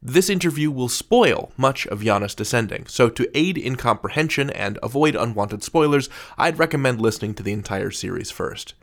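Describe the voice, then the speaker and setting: Male podcast host and interviewer, very lively pitch swings, playful and emphatic delivery. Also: normal speed, hardly any pauses. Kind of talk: scripted podcast introduction and interview.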